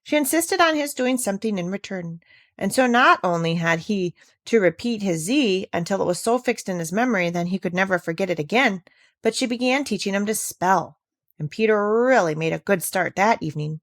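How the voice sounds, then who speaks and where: A woman's voice: mid-range voice, expressive, brisk, no room sound, studio quality. A woman reading a children's classic aloud as a scripted storybook narrator.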